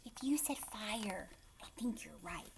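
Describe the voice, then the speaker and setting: A woman teacher reading aloud to young children, expressive, putting questions to the listener and often dropping into a hushed voice. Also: mid-range voice, noisy background. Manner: expressive, asks questions and pauses as if answered.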